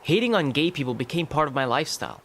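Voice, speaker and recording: A man's voice: fairly high pitch, sing-song, quick pace, fairly bright tone. A man narrating a scripted personal story.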